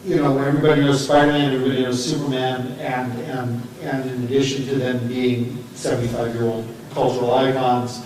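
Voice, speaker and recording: A man speaking off the cuff in a panel discussion, haltingly, often searching for his words. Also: medium pitch, flat delivery, darkish tone. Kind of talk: panel discussion, unscripted talk. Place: echoey room, recorded at a distance. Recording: very echoey room, distant mic, faint noise.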